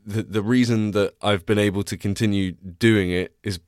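A man's voice: fairly low pitch, steady tone, moderate pace, no echo, clean studio sound. A young man hosting a podcast, chatting casually and rambling with many restarts, tired and congested. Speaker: podcast host. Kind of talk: casual solo podcast chat. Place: small cramped home recording space.

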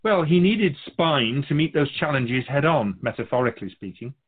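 A man playing a wise otherworldly guide of souls, teaching in a measured, philosophical and gently instructive conversational way. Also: mid-range voice, animated, breathy edge, narrow, tinny audio. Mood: reflective, explaining. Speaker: guest answering questions. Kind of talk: conversational spiritual teaching, question and answer.

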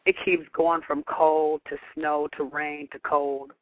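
A woman's voice: deep voice, little pitch movement, slow, no echo. A woman from Michigan, a congested author guest with a head cold, talking in a friendly, forthright way. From Michigan, USA. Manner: friendly, forthright, easy laughter. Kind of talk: phone-in interview. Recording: tinny phone line.

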